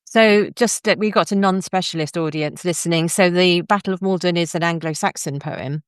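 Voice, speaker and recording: Woman doing a bookish podcast interview, clear smooth voice, close dry sound, chatty but articulate, explains stuff as she goes. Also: on the low side, moderate pace, dry recording. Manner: articulate, discursive, explains for non-specialist listeners. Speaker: podcast host interviewing an academic.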